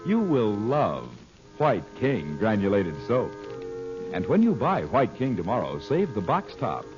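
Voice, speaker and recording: A man announcing an old radio serial, with a lowish voice that rises and falls dramatically. His delivery is formal and emphatic, over music on a dull vintage broadcast. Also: huge pitch swings, a little slow.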